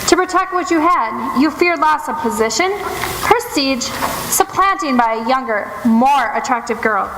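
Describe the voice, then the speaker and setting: High-voiced, commanding woman investigator acted on stage. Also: expressive. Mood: stern, accusing. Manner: formal, deliberate, theatrical delivery. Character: shrewd, commanding female investigator exposing a poisoner. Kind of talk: old-time radio mystery acting on stage. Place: live stage in a theatre. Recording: echoey live theatre stage, noisy.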